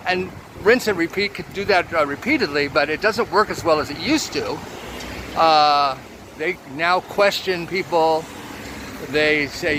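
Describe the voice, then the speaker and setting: A man in his seventies talking about his life in a relaxed, reflective monologue, with many pauses. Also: on the high side, noisy background. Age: 70s. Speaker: vlogger. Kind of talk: casual personal monologue.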